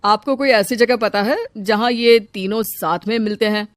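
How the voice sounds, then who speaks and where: A woman's voice: mid-range voice, normal speed, no echo, clean studio sound, lightly acted. A woman voicing a concerned, take-charge male village headman in a cartoon story.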